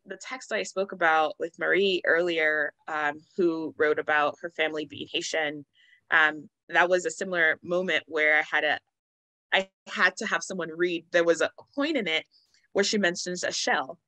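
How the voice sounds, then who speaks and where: A woman's voice: sits low, moderate pace, dry recording, rough recording. A woman literary translator on an online panel, telling earnest anecdotes with many ums, speaking over a computer video call from an indoor room. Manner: earnest, animated storytelling with ums.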